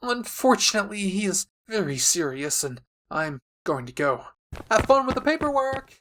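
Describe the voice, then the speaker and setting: A cheeky male anime vigilante played by a man speaks in a lifted, higher voice. He is flippant and mocking, treating danger like a game. The delivery suits a playful audio roleplay villain. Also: big pitch swings, moderate pace, fairly bright tone.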